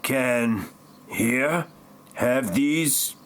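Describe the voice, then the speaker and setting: A man puts on an insistent doctor keen to help and to medicate. The speech is extremely slow and broken into halting chunks at a medium pitch, in parody audio-play style. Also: heavy background noise. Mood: coaxing. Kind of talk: amateur parody audio drama acting.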